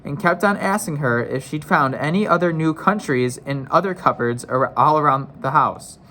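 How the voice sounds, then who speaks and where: A man's voice: a little high, lively intonation, normal speed, sharp tone, poor audio. A man narrating a children's storybook as a read-aloud, careful, with the odd stumble and re-read phrase. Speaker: story reader.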